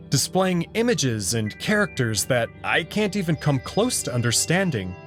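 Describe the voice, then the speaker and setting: A man reading expressive first-person narration for a visual novel story. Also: on the high side. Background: background music.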